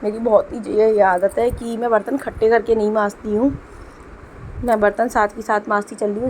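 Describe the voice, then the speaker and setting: A woman's voice: mid-range voice, a little mellow, a little hiss or hum, rough recording. Chatty young woman with a sore throat. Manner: chatty, explains as she works. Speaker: homemaker vlogger. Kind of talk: casual voice-over narration. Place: home kitchen.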